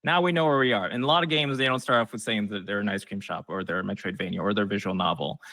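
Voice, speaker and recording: A man with a fairly low voice talks casually as an expert guest giving advice. He is conversational and slips into short acted-out reactions of an imagined audience. The recording is dry, with very little room sound. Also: quick pace, bright, forceful tone, dry recording. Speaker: game trailer expert, interview guest. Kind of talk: casual explanatory interview talk with advice.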